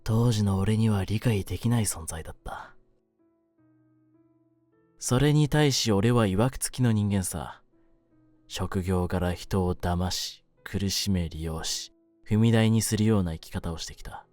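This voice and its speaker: A male voice actor plays a young gangster with a low voice, recorded dry and intimate over music. He is brooding and lonely, talking in rough, streetwise words with a soft, clingy undertone, in the style of a situation-voice roleplay. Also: unhurried, no room sound, natural voice. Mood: melancholy, confessional. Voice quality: low-pitched voice.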